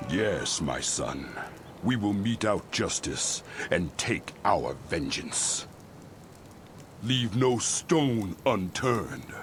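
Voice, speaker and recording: An actor playing a grave, vengeful religious leader in a fantasy game, delivering measured, preaching oratory. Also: male voice, deep voice, very animated, slight background noise, exaggerated voice. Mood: grave, righteous. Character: solemn, vengeful male religious leader rallying followers. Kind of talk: video game cutscene acting.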